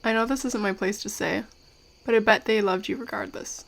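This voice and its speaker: An actress playing a curious, courteous investigative podcaster in an audio drama, speaking conversationally. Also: female voice, mid-range voice, steady tone, fairly quick, vocal fry. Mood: sympathetic. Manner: courteous, conversational, addresses listeners directly. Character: curious, polite female investigative podcaster among zombie fighters.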